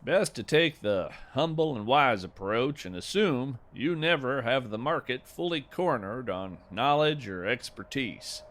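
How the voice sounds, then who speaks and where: A man playing a gentle, whimsical naturalist in a fiction podcast, narrating with folksy, reflective wonder and deliberate phrasing. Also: mid-range voice, expressive. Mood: warm, grateful. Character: gentle, whimsical male naturalist marvelling at strange creatures. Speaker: host and narrator. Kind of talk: scripted fiction podcast narration, storytelling monologue.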